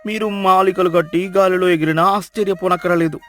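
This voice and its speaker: A man's voice: high voice, steady tone, a little fast, a bit bright. A man playing an obsequious village healer and henchman who heaps exaggerated praise on his lord in a dramatised moral story. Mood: fawning, boastful. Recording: music behind the voice.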